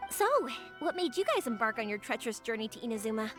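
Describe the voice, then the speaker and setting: A woman plays a chatty young fireworks maker in a game, her voice high and swooping all over. She sounds bubbly and eager to please. Game music plays clearly behind her. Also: animated, fast talker, natural voice. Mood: cheerful.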